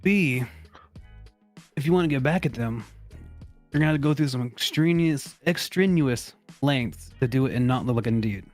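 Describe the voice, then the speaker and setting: Male advice-show host, mid-pitched, casual and mock-serious, swears freely, music in the background. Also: expressive, moderate pace. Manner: profane, blunt, mock-serious. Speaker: host of a comedy advice segment. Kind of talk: casual, joking group chat and reading aloud.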